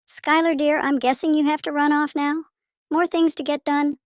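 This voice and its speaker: A woman voicing a sweet, playful older neighbour in an audio story, chatty and affectionate with a creaky voice. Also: high voice, level tone, normal speed, dry recording, studio quality, close to an everyday voice. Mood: kindly. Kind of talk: scripted audio story character.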